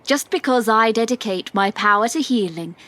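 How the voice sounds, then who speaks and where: A woman's voice: on the high side, lively intonation, normal speed, fairly bright tone. A woman playing a proud, easily offended healer in a fantasy audio drama, with a defensive, indignant manner. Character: proud, prickly female healer and fighter.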